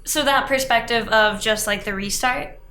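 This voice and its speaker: A woman's voice: fairly high pitch, moderate pace. A woman chatting casually on a podcast panel, feeling her way through sentences with lots of "like". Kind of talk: casual chat. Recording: roomy echo, clear background noise.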